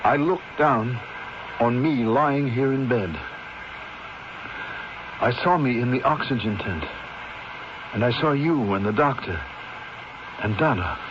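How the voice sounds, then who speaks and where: A slow, frail dying man. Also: medium pitch, lively intonation. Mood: dazed, weak. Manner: slow, faint, halting speech. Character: weak, dying bedridden man, wistful and resigned. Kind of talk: 1970s radio drama acting. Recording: dull, noisy 1970s radio drama recording.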